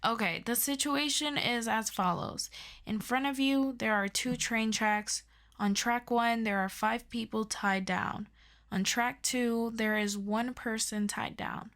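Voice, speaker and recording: A woman playing an earnest, excitable superhero best friend in an ASMR audio roleplay, chatty and expressive as she tutors a listener. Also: on the high side, a little slow. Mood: encouraging, playful. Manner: chatty and expressive, with interjections and sighs. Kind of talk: ASMR audio roleplay, scripted one-sided conversation.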